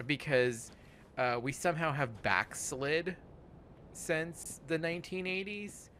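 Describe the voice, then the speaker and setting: A man of about forty chatting casually over gameplay, rambling and halting, with plenty of swearing. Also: on the high side, a bit bright, a little hiss or hum. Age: around 40. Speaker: host of a gaming let's play podcast.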